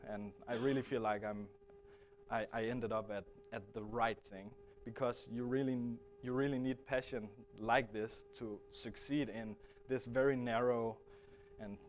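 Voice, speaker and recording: A man's voice: medium pitch, fairly even intonation, measured pace, a little hiss or hum. A man from Denmark in his thirties with a Danish accent, giving a presentation at a conference with many hesitant restarts. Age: 30s. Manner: hesitant, frequent restarts and fillers. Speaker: guest speaker.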